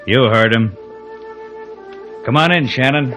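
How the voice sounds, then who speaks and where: An actor playing a rough, obedient gangland henchman in a 1950s radio drama. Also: mid-range voice, unhurried, bright, forceful tone. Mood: businesslike. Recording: vintage radio recording with music.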